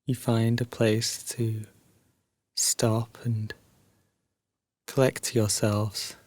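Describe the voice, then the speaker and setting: A man guiding a sleep meditation as a narrated story, soothing and hushed, leaving long pauses between phrases. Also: fairly low pitch, level tone, no room sound.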